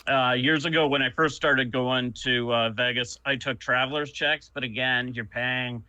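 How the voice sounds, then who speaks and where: A man's voice: mid-range voice, steady tone, normal speed, bright, forceful tone, clean tone, dry recording, low-quality recording. A man from Canada, a podcast guest, chatting casually and hesitantly with many ums and uhs. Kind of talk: casual chat.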